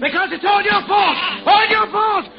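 An actress playing a frenzied, shrieking accuser in an old-time radio mystery. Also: female voice, very high voice, moderate pace, a faint crowd, slight background noise. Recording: muffled old radio, loud music behind.

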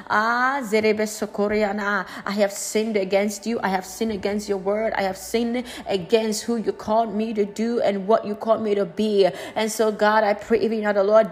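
Woman preacher in fervent, pleading prayer. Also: mid-range voice. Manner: fervent, pleading, repeats phrases insistently; breaks into speaking in tongues. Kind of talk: spontaneous charismatic deliverance prayer.

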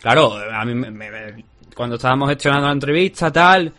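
A man's voice: mid-range voice, expressive, a little fast, pushed and bright, faint music behind. Chatty Spanish-accented male podcast host. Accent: Spanish from Spain. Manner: chatty, rambling with many restarts. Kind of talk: casual chat. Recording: remote call.

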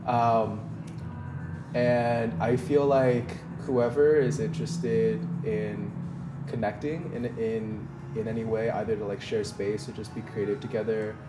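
A man's voice: fairly low pitch, fairly even intonation, a little slow, roomy sound, noisy background. A man whose first language is Mandarin, talking in a casual, reflective way as a podcast guest, rambling with many fillers and restarts.

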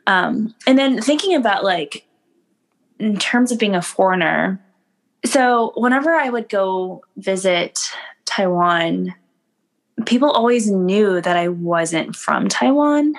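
A woman's voice: medium pitch, animated, slow pace. A woman from the United States in casual conversation, chatty and full of fillers, laughing often.

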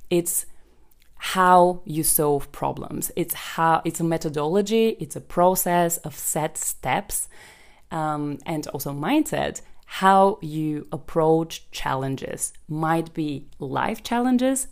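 A woman's voice: low voice, a little mellow. A young woman from Bulgaria, a Bulgarian native speaker, hosting her own podcast and explaining a method in a chatty, conversational way with frequent ums. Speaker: podcast host and business coach.

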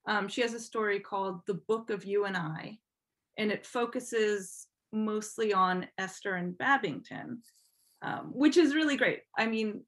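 A woman chatting casually as an interview guest, thinking aloud in an informal, halting way. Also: mid-range voice, a bit bright. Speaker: podcast guest, fan fiction writer.

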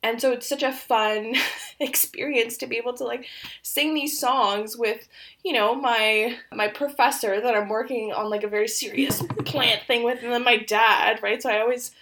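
A woman's voice: fairly high pitch, steady tone, normal speed, a little hiss or hum. A woman in her twenties, whose first language is Polish, co-hosting a podcast and telling stories with frequent laughter. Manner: laughs often, warm storytelling. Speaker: podcast co-host. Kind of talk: casual chat.

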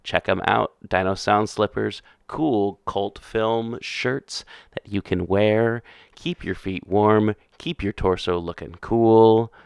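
A man's voice: lowish voice, steady tone, slow, gravelly, clean tone, no room sound, clean studio sound. A man hosting a podcast, talking in a casual, offhand way through intros and plugs. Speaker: podcast host.

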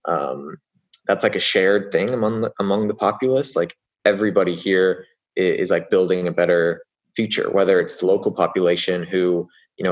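Deep-voiced man, casual and rambling. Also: lo-fi sound. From United States. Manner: rambling, many fillers and restarts. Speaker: guest. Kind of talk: casual conversational interview.

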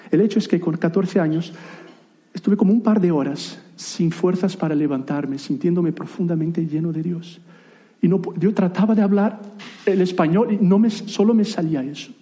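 A man's voice: on the high side, moderate pace, warm tone. A man in his thirties, a native Portuguese speaker, preaching a sermon in a conversational way with light self-deprecating asides and frequent restarts. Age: 30s.

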